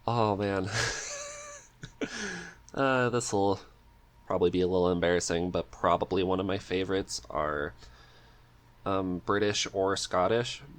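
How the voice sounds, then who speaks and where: Low-voiced man in casual interview chat. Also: a little slow, pauses often. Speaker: podcast guest, script writer and amateur voice actor.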